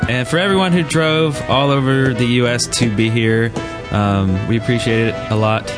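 A man's voice: mid-range voice, normal speed, over music. A man hosting a podcast, talking casually with friends at a live convention recording. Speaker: podcast host.

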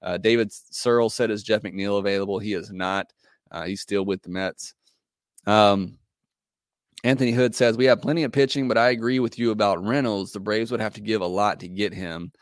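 A hoarse man with a lowish voice hosts a casual podcast, working through listener comments. He talks in a loose, hesitant way with plenty of fillers, recorded close and dry with no room sound. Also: fairly even intonation, moderate pace, dry recording. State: hoarse, losing his voice. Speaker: podcast host.

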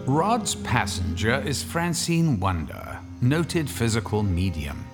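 A man narrating a horror radio drama as a suave storyteller, in a theatrical, measured way with weighty pauses. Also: mid-range voice, big pitch swings, over music. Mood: dramatic, wry.

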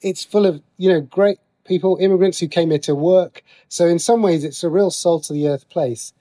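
Higher-pitched man, chatty interview guest. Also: normal speed, dark tone. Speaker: guest, TV and radio writer. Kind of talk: casual interview answers.

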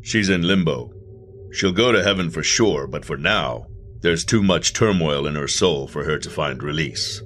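A man voicing a cool afterlife agent, very deep. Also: lively intonation, moderate pace, fairly bright tone, slight background noise. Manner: cool, matter-of-fact, faintly mocking.

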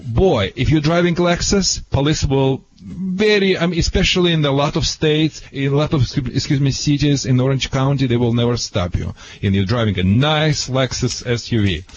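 A man from Russia with a Russian accent, telling stories in rambling, excitable, imperfect English in casual radio banter. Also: mid-range voice, moderate pace, hardly any pauses, dull audio. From Russia (Soviet Union). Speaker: radio show dog expert.

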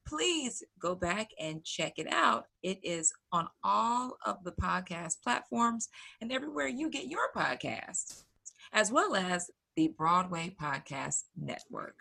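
A woman's voice: fairly low pitch, lively intonation, slow, creaky, bright, forceful tone, breathy edge. A woman co-hosting a podcast in a chatty, presenting style. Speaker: podcast co-host.

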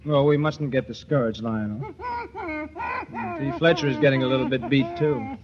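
A man's voice: mid-range voice, normal speed, a loud crowd, close to an everyday voice. A man playing the easygoing, wisecracking lead of a 1940s radio comedy. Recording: noisy, dull old radio recording.

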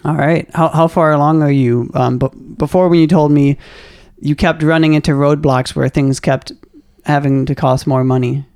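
A man who hosts a podcast and interviews a friend in a casual way, laughing often and halting with many fillers. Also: a little high, steady tone, a little mellow, clean tone, dry recording, studio quality. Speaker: podcast host.

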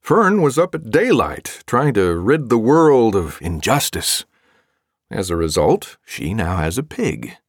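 Casting: wry, kindly farm father, low voice with lively swoops, mock-solemn delivery, dry studio sound. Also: unhurried, no room sound, exaggerated voice. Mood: dryly amused. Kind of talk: audiobook full-cast character acting.

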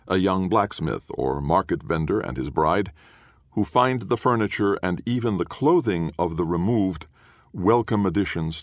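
Male narrator, extremely low bass voice, dry close sound, formal literary audiobook read. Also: dry recording. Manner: measured, formal, deliberate reading.

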